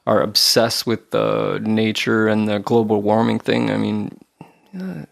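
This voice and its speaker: A man's voice: on the low side, steady tone, unhurried, vocal fry. A man hosting a podcast, talking casually and hesitantly, often restarting his phrases. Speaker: podcast host. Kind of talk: casual interview conversation.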